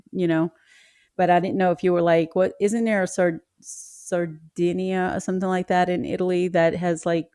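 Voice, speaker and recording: A woman's voice: lowish voice, warm tone, smooth, dry recording, clean studio sound. A woman hosting a podcast, asking chatty, curious questions that ramble a little. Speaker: podcast host. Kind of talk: casual interview chat.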